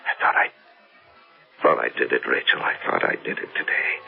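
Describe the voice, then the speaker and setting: An American actor playing an obsessed, grieving middle-aged businessman in a radio drama, halting and repeating his words. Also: soft background music, lightly acted. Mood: anguished, pleading. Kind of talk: 1970s radio drama acting. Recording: dull vintage radio recording.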